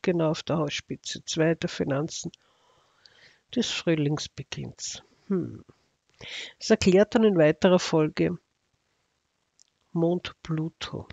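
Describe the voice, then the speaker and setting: A man's voice: high voice, vocal fry, darkish tone, no room sound, highs cut off. A man with an Austrian accent giving casual, unscripted commentary as an astrology video narrator, in a sardonic, ironic manner.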